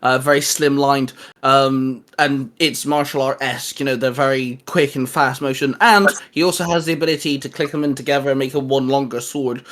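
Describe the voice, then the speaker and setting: A young man chatting casually as a co-host, excitable and quick to laugh, swearing freely. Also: mid-range voice. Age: young adult. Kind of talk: casual podcast chat.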